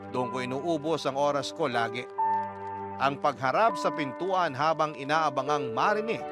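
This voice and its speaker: A Filipino man giving a sorrowful, dramatic first-person scripted narration as a radio storyteller. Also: a little high. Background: music.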